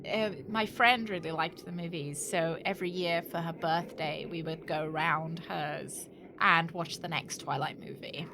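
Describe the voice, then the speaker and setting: A woman's voice: low voice, steady tone, a little slow, noisy background. A British woman chatting casually and thinking aloud to viewers as she streams a video game. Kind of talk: casual chat while playing a game.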